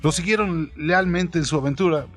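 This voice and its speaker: A man's voice: on the high side, normal speed. A man narrating a literary audio story in a dramatized radio storytelling style, with music behind him. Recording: soft music under the voice.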